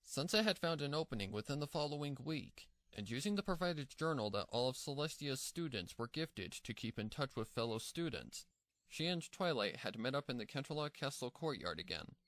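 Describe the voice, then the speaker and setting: A man's voice: medium pitch, very animated, brisk, a bit bright, no echo. A man narrating a scripted story as its narrator.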